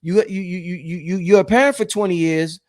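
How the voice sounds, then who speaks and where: A man's voice: high-pitched, brisk, a little mellow. A man in his late thirties talking casually to his viewers about spiritual matters, rambling and repeating phrases for emphasis. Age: late 30s. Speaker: host of a spirituality channel.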